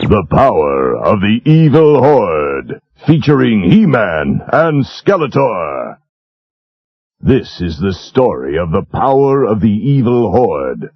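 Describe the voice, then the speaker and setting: A man's voice: on the low side, sing-song, slow pace, husky. A man narrating a children's storybook record in a dramatic style. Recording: muffled old storybook record with music.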